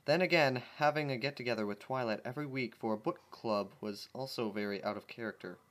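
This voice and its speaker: A man's voice: mid-range voice, a little fast, fairly bright tone. A man casually reading a fan story aloud and commenting on it with amusement, often stumbling over words. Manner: amused, stumbling, laughs at the text. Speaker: channel host reading fan fiction.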